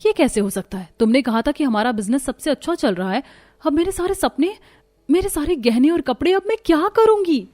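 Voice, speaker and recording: A woman's voice: high-pitched, lively intonation, brisk, darkish tone. A woman voicing a haughty, boastful rich young wife in a moral cartoon story. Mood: shocked, distraught. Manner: scornful, boastful. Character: haughty rich young wife who taunts her poor friend.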